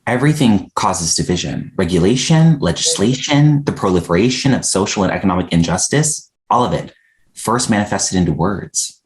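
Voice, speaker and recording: An actor playing an earnest, opinionated but polite poet in a screenplay table read. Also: male voice, on the low side, expressive, normal speed, lightly acted, low-quality recording.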